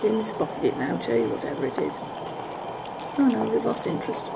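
A woman's voice: medium pitch, big pitch swings, moderate pace. A woman giving a casual, musing narration of a park walk, correcting herself as she goes, recorded outdoors on a handheld camera. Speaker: vlogger. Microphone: handheld camera's built-in mic. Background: clear park ambience noise.

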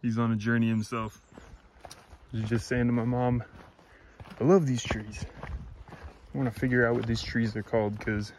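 A man's voice: mid-range voice, little pitch movement, moderate pace, long pauses. Young man vlogging on a forest trail. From Florida. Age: young adult. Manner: upbeat, chatty, thinks aloud with fillers. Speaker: hiker vlogger. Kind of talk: casual vlog narration. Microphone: phone at arm's length. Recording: faint outdoor noise.

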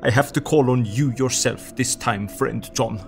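A grave old professor in an audio drama. His voice creaks with gravel shapes halting, emphatic phrases. Light music plays behind him. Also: mid-range voice. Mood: grim, urgent. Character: grave, urgent older Dutch professor and doctor.

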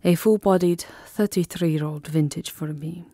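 A woman playing a tender, lovesick vampire countess in an intimate ASMR roleplay, speaking softly and often dropping into a whisper, with formal, wistful endearments. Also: on the low side, a little slow. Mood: seductive. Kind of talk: ASMR roleplay, soft intimate romantic acting. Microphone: close microphone, dry. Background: music.

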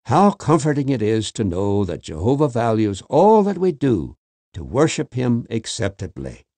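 A man reading a religious magazine article aloud in an expressive, scripted way. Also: mid-range voice, moderate pace, no echo.

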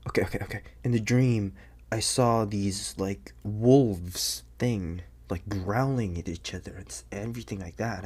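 A young man playing an anxious, bewildered teenage boy turning werewolf in an ASMR audio roleplay, speaking haltingly in a husky, breathy half-whisper. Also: lowish voice, darkish tone.

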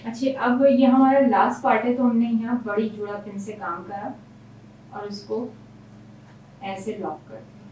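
A woman hairstylist talks through every step of a hairstyle as she works on a client in a salon. Her voice is fairly high. She stands away from the mic in an echoey room, with a faint hum of room sound behind her. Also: fairly even intonation, measured pace, a little mellow, far from the mic. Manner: explains each step while working. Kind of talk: hairstyling tutorial.

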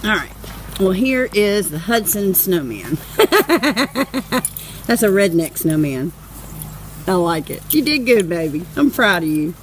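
A woman narrates her home video in an unhurried, chatty way and giggles often. Her voice rises and falls a lot as she talks. It sounds like it was recorded outdoors in the snow on a handheld phone camera. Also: lowish voice, very animated, a little hiss or hum. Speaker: vlogger filming her husband. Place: outdoors in a snowy yard.

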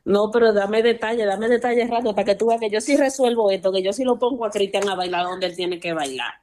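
A woman's voice: medium pitch, fast, a little mellow. A woman with a Dominican accent talking casually on the phone, brash and insistent, often repeating herself. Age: young adult. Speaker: prank call recipient. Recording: noisy phone call.

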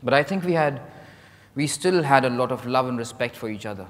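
A middle-aged man on stage playing a dignified novelist under interrogation, speaking in a measured, formal, defensive way. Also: mid-range voice, lively intonation, natural voice. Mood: reserved. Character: reserved, dignified male novelist being interrogated. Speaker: stage actor. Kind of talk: dramatized play reading on stage. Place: large hotel ballroom stage. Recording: echoey hall from far off.

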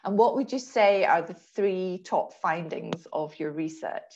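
A middle-aged woman interviewing in a measured, conversational way over a video call, with slightly dull sound. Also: on the low side, a little mellow, a little hiss or hum. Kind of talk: online interview. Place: small room indoors, video call.

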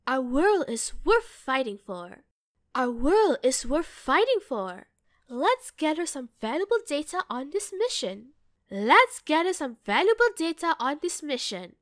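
High-pitched, lively, woman acting a kindly young female scientist, bubbly, game catchphrases. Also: measured pace, no echo, clean studio sound. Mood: earnest, upbeat. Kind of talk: fan video game character audition.

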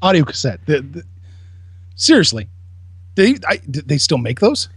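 A man's voice: fairly high pitch, big pitch swings, moderate pace, husky, dry recording. A man co-hosting a podcast in casual banter, with a blunt, sarcastic and mock-incredulous way of talking. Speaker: podcast co-host.

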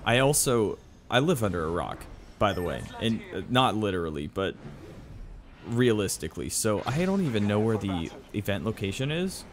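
Male gaming host: low, lively, casual rambling commentary, faint game ambience underneath. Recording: faint game noise behind voice.

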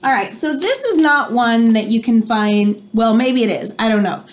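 An American woman in her thirties reading a children's nonfiction book aloud at home, pausing to explain things simply like a teacher. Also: on the high side, animated, a little hiss or hum. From United States. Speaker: read-aloud host for children. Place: small room at home, indoors.

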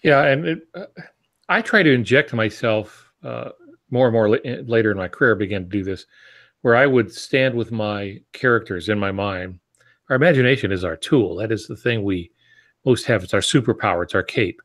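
A man's voice: on the low side, moderate pace, fairly bright tone. A man in his sixties giving casual, reflective advice as a podcast co-host on a video call from a home office. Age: 60s. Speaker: podcast co-host, experienced writer. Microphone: video call on earphones.

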